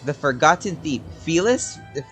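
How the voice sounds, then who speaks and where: A woman's voice: low voice, expressive, normal speed. A woman game streamer giving casual, short, muttered playthrough reactions. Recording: faint game music behind.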